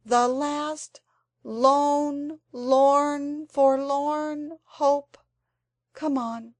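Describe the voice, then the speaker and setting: A woman's voice: on the high side, lively intonation, slow pace, dry recording, voice pushed up, exaggerated voice. A woman voicing an earnest young girl in a storybook, pleading and a little dramatic. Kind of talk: storybook character voice.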